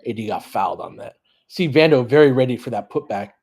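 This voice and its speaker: A man with a mid-pitched, somewhat husky voice hosts a live basketball stream. He talks casually and analytically, moving between calling the action and chatting informally with his listeners. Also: fairly quick, darkish tone. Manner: conversational, analytical, informal with mild swearing. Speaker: host of a fan audio play-by-play stream.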